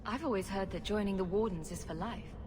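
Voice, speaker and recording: A woman's voice: medium pitch, fairly quick, noisy background, lightly acted. An actress playing a self-assured, witty female adventurer with a cool, direct manner in a video game. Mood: inquisitive. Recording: music clearly behind the voice.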